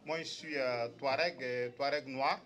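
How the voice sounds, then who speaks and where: Man from mali, speaking up at a debate, halting with lots of ums, mid pitch. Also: fairly even intonation, a bit bright, dull audio. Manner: hesitant, halting with fillers. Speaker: audience member speaking up. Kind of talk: spontaneous debate remark.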